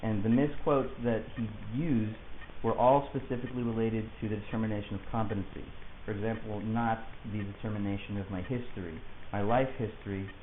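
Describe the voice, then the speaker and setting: The recording is narrow and echoey, with a light hiss over it. A man with a fairly low voice talks as a client to his lawyer. He argues insistently and in careful, articulate detail. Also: fairly even intonation, a little fast. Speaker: client of a public defender. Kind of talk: argumentative conversation. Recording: hissy, muffled phone-like audio, echoey room.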